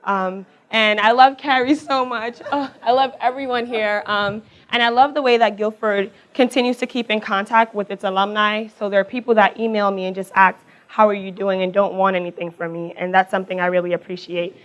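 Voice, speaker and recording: Young woman, raspy voice, quick chatty talk at a mic in front of a crowd. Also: medium pitch, steady tone. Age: young adult. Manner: talks fast, warm and chatty, laughs between thoughts. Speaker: alumna speaker. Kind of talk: personal talk to a live audience. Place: event hall with audience. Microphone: handheld or stage mic.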